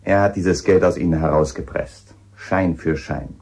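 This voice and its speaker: An actor playing a stern, probing police inspector in a radio crime drama, questioning insistently and accusingly. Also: male voice, sits low, fairly even intonation, moderate pace, darkish tone.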